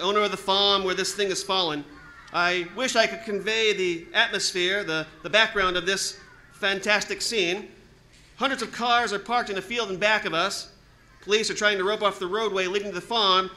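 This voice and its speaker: Man acting high-pitched, urgent radio news reporter. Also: fairly even intonation, moderate pace, sharp tone, slight background noise. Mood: hushed urgency. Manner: hesitant, stammering, urgent. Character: excited male radio news reporter at the scene. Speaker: actor in a radio drama recreation.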